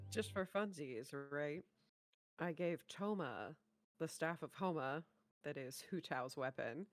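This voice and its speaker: A woman's voice: deep voice, expressive, takes it slowly, faint music behind. A woman co-hosting a reaction show, chatting casually in a playful, exclamatory way.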